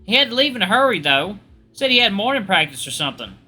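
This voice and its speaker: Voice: mid-range voice, big pitch swings, fast talker, sharp tone, soft background music, pitched above the performer's own voice, poor audio. A performer voicing a friendly, folksy young female college student with a Southern accent, polite and eager to help. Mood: friendly, chatty.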